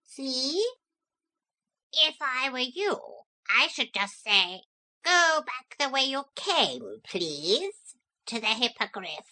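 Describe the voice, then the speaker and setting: A woman's voice: sits high, sharp tone, voice pushed up, full character voice. A woman voicing a proud, courteous male parrot who talks in a polite, formal way while making cool threats.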